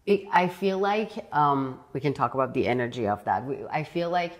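Young woman interview host from Iran, low-pitched, animated and searching in her delivery, lapel mic in a living room. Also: moderate pace. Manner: animated, searching for words, restarts often. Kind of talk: conversational interview. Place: bright living room indoors.

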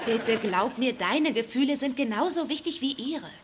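Casting: actress as young female sitcom neighbour, friendly and frank, slightly high voice, clear background noise. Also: animated, normal speed, lightly acted. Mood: encouraging. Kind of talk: dubbed sitcom dialogue.

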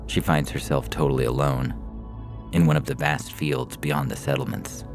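A man's voice: a bass voice, huge pitch swings, normal speed, vocal fry, music in the background. A man reading a science fiction story aloud as a scripted narration.

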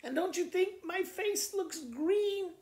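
Man putting on a high, whiny little girl. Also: slow pace, darkish tone. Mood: whiny complaining. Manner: whiny, breathless listing of complaints. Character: melodramatic little girl faking sickness, whiny and self-pitying. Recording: roomy indoor echo.

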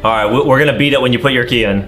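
A man's voice: medium pitch, rapid-fire, a bit bright. A young American man co-hosting a gaming video with casual banter. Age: young adult. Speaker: gaming YouTube co-host.

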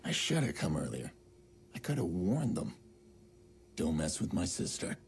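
Brooding protective older brother, low gravelly voice, game cutscene w music behind. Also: male voice, very animated, normal speed, a little hiss or hum. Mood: somber, regretful. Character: brooding, protective big brother, gruff vigilante. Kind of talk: understated video game cutscene acting.

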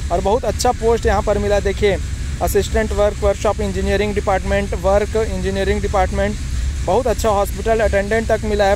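A young man hosting an exam-coaching video, talking fast and informally to his viewers beside a railway track, recorded on a phone. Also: high voice, noisy background. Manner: brisk, repeatedly addresses viewers as friends. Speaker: exam coaching channel host.

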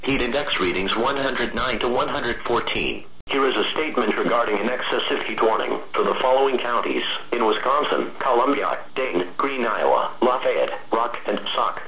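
A synthetic man's voice with an American accent reads a scripted weather bulletin as a radio announcer, in a formal, measured, impersonal way. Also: mid-range voice, animated, sharp tone. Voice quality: synthetic text-to-speech voice. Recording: noisy, echoey weather radio broadcast.

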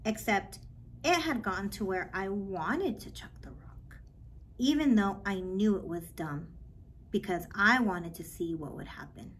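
A woman in her thirties reading a children's book aloud in a measured, expressive way, with pauses, in a small office. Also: medium pitch, slight background noise. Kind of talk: read-aloud storytelling.

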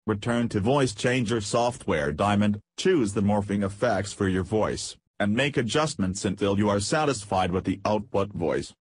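Husky, low-voiced man narrating a tutorial. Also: lively intonation, normal speed, few pauses, dry recording. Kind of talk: scripted software tutorial narration.

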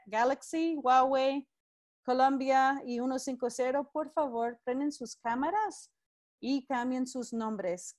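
A woman's voice: high voice, a little mellow. A woman leading a live online class over a video call, greeting participants by name and giving friendly instructions.

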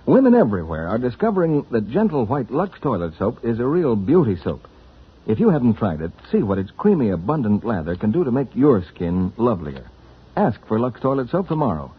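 A man's voice: medium pitch, sing-song, brisk, slightly rough. A man announcing on 1940s radio, reading scripted introductions and advertising copy. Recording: muffled 1940s radio broadcast.

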